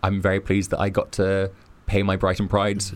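A man with a very low voice holds forth on a podcast, building his argument point by point. He sounds emphatic and sure of his opinions as he chats casually. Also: completely flat delivery, normal speed. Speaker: podcast co-host.

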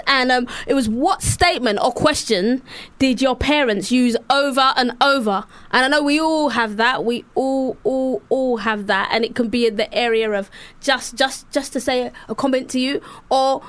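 A young woman from Sierra Leone co-hosting a radio show, animated and laughing often as she tells stories. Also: sits high. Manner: animated, laughing, lots of repeats. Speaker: co-host, rapper. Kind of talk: casual radio chat.

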